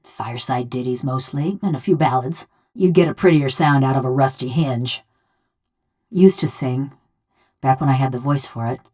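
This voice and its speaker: A woman voicing a bitter, sardonic construct woman in a video game, a rough rural killer who talks in folksy, ungrammatical, taunting speech. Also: extremely low pitch, moderate pace, mellow. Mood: wry, curious. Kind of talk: video game character dialogue.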